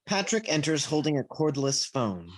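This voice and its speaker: A woman narrates stage directions from a script. Her voice sits low and is raspy, with a lively rise and fall. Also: normal speed. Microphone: video call.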